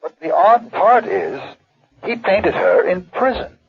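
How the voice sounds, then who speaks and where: A polite, fidgety male art dealer in a radio play. His voice is very husky and rather high, and he stammers apologetically on a dull, dry recording. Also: animated, slow pace, no room sound, full character voice. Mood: storytelling. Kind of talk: radio drama acting. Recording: dull, dry old radio drama audio.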